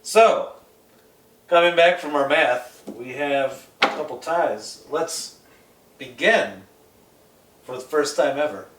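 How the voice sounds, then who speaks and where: A man's voice: a little high, slow pace, long pauses. A man co-hosting a casual panel discussion, giving blunt opinions. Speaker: podcast co-host. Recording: echoey room, distant mic, faint noise.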